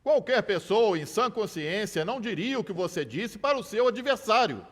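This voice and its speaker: An actor dubbing a sharp, persistent lawyer who cross-examines a witness with measured, theatrical questions. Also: male voice, sits high, sing-song, long reverb tail, heavily acted. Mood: probing. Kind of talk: dubbed film courtroom drama.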